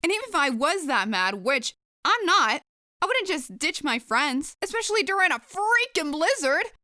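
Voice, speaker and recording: A young, skeptical pegasus mare acted by a woman in an audio story. The voice is high, bright and very animated, with blunt sarcasm and a rebellious streak. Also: moderate pace, no echo, clean studio sound, heavily acted. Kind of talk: dramatized fan fiction reading.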